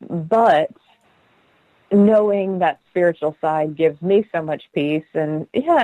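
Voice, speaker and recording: A woman's voice: low-pitched, no room sound, phone-quality sound. Earnest, laughing middle-aged woman guest. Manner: earnest, laughs often, rushes through stories.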